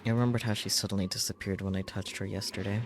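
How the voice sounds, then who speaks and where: A man's voice: fairly low pitch, fairly even intonation, racing, noisy background. A man reading a visual novel's script aloud as a streamer, in a dramatic storytelling style. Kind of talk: dramatic read-aloud narration of a game script.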